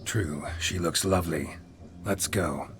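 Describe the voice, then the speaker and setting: A grumpy tired monster hunter in a game, really deep voice, dry and deadpan, music behind. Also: male voice, slow pace. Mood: dry, terse. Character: gruff, dour, world-weary male monster hunter. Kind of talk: video game character acting.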